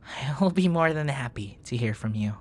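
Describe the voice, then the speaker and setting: Man playing a soft-spoken, flirtatious bookish nerd. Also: mid-range voice, expressive, normal speed, lightly acted. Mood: tender, teasing.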